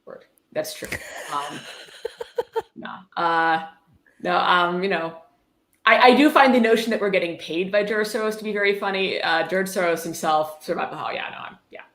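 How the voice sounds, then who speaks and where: A woman's voice: on the low side, normal speed, fairly bright tone. A woman hosting a casual commentary, reading aloud and breaking off for sarcastic, mock-approving asides. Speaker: host. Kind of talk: casual live commentary with a read-aloud book. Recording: echoey room.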